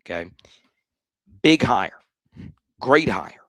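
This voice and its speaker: A man with a slightly hoarse voice hosting a live sports talk stream, blunt and animated, often restarting his sentences. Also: medium pitch, very slow, no echo, studio quality. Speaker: sports show host.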